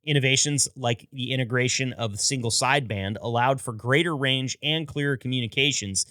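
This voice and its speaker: Bright, crisp male podcast narrator. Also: mid-range voice, dry recording, clean studio sound. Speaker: podcast host. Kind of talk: scripted narration.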